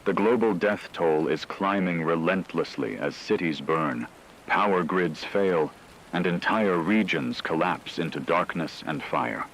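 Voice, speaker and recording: A man acts a grave radio news anchor with a deep voice, delivering scripted disaster bulletins. The delivery is solemn, measured and dramatic, with faint noise behind it. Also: a bit bright, rough recording. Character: grave male radio anchor reporting an apocalypse.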